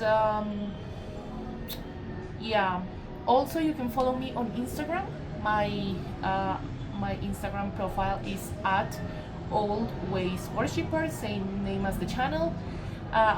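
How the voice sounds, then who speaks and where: A Colombian woman, Spanish her first tongue, talks English in a gentle, halting way, full of ums and fresh starts. She takes her time over every thought. Music plays loudly behind her. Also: medium pitch, low-quality recording. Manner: hesitant, many ums and restarts, gentle. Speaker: spiritual channeler. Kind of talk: casual spiritual message video.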